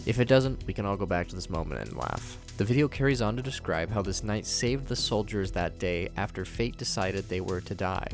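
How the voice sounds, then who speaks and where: A man hosting a video recap, narrating scripted commentary on horror episodes. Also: lowish voice.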